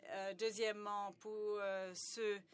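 A woman's voice: mid-range voice, level tone, clear, resonant tone. A woman gives live simultaneous interpretation of a conference speech. She hesitates often and fills the gaps with stalling sounds. She restarts phrases mid-word, then carries on. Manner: halting, many hesitations and restarts.

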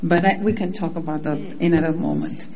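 A woman's voice: low voice, steady tone, fast talker, creaky, darkish tone, a little hiss or hum, rough recording. A native Spanish-speaking woman with a Spanish accent, giving informal remarks as a panelist. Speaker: panelist, government delegate.

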